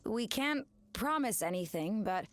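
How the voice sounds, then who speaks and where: An actress playing an earnest, thoughtful teenage alien girl in an animated video game. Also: medium pitch, unhurried, close to an everyday voice. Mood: polite.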